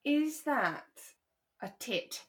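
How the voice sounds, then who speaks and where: A woman's voice: high-pitched, animated, very slow, fairly bright tone. British female podcast host, easygoing conversational delivery, informal and unscripted. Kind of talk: relaxed casual chat.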